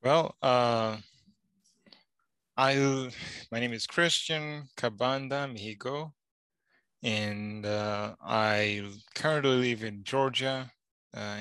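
A young man from Rwanda chats as a podcast guest, unhurried and soft-spoken, often pausing on fillers. His voice is bright and smooth. The sound is dry and close. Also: mid-range voice, no echo. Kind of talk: casual conversation.

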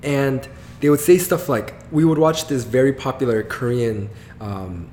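A man's voice: mid-range voice, measured pace. A young man in his twenties from Guam, a comedian chatting casually as a guest and acting out the people in his stories. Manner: animated storyteller, acts out his parents' voices. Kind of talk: casual interview chat. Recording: echoey room with faint noise.

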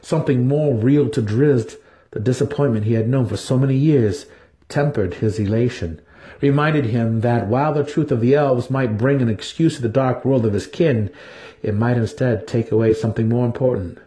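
A man's voice: mid-range voice, normal speed, mellow, low-quality recording. A man narrating a fantasy audiobook as a dramatic scripted reading.

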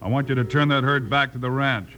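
A man's voice: mid-range voice, a little fast, lightly acted. An American man playing a firm, fearless western marshal, plain-spoken and commanding, in an old radio drama. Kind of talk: 1950s radio western acting. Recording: noisy old radio transcription.

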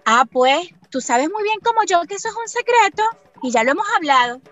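Una actriz de radionovela que interpreta a una joven venezolana nerviosa y respondona, de habla coloquial y exclamativa, con acento venezolano. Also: female voice, very high-pitched, very animated, a little fast, a bit bright, faint music behind, full character voice. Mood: defensive. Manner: colloquial, exclamatory. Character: nervous, feisty, plain-spoken young Venezuelan woman. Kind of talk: radio soap opera acting.

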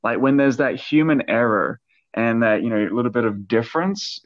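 A man's voice: mid-range voice, normal speed, no room sound. Man with a slight Australian accent, dull audio. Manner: curious, loose phrasing with fillers. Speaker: interviewer. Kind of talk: casual interview questions.

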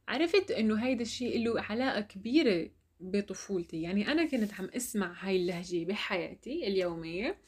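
A young woman with an Algerian Arabic accent, chatting casually and telling a personal story. She laughs often and mixes French words into her speech. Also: mid-range voice.

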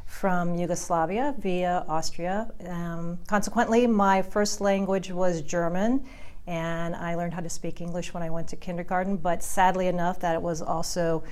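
Female hospital executive, middle-aged, slightly low voice, smooth steady delivery. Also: normal speed. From Ohio. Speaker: hospital president. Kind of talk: interview self-introduction.

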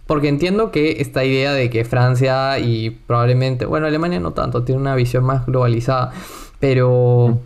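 Chatty man telling travel stories. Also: mid-range voice. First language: Spanish. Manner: chatty, animated storyteller with playful asides. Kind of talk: casual travel chat.

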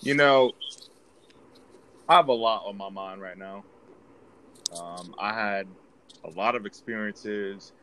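A man's voice: fairly low pitch, slow, long pauses, pushed and bright, slight background noise. A man from the Bronx hosting a podcast, talking earnestly and with feeling. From the Bronx, New York. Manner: earnest, emotional. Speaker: podcast host. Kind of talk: casual podcast chat.

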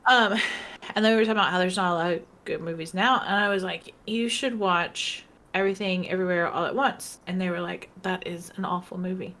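A woman's voice: fairly low pitch, steady tone, a little fast, fairly bright tone. Rambling, giggly woman podcast co-host. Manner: rambling, giggly, lots of fillers. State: tipsy and socially drained. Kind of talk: casual chat.

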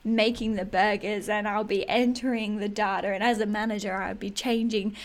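A woman with an Australian accent hosts a solo podcast in a casual, chatty way. Her voice is a little husky and sits at a middling pitch. She speaks candidly and puts firm emphasis on her points. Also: fairly even intonation, moderate pace. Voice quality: slightly husky. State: throat a bit hoarse in early morning. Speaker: podcast host.

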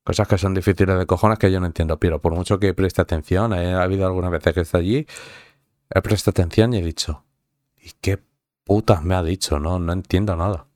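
A man's voice: sits low, fairly even intonation, fairly quick, a little mellow, no echo, studio quality. A young man from Madrid with a Madrid Spanish accent, chatting casually with viewers in a rambling, profane way full of fillers and restarts. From Madrid, Spain. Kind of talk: casual chat.

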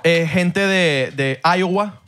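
A young man co-hosting a podcast, relaxed and teasing, shouting playfully now and then. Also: high-pitched, takes it slowly, a loud crowd, heavy background noise. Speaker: podcast co-host. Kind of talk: casual banter.

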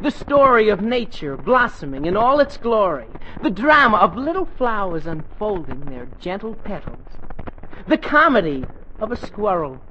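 A man's voice: high voice, sing-song, moderate pace, bright, forceful tone, full character voice. An actor playing an eager, dreamy teenage boy in a 1940s radio comedy, boyish and earnest, on a muffled, hissy old broadcast.

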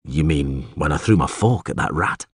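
A man voicing a terse, weary monster hunter with dry wit in an audiobook, speaking in a laconic, deadpan way. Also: rumbling low, moderate pace, husky, dry recording, voice pushed down, exaggerated voice. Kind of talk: audiobook character acting.

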